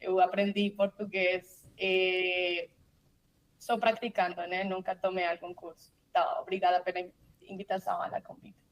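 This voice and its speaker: A woman's voice: on the low side, flat delivery, normal speed, low-quality recording. A woman from Colombia with a Spanish accent, speaking carefully and a bit hesitantly.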